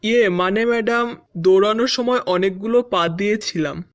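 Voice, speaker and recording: A woman's voice: mid-range voice, moderate pace, noisy background, close to an everyday voice. A woman voicing a cheeky, smart-alecky schoolboy with mock-respectful answers in a cartoon joke sketch. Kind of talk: Bangla cartoon joke dialogue.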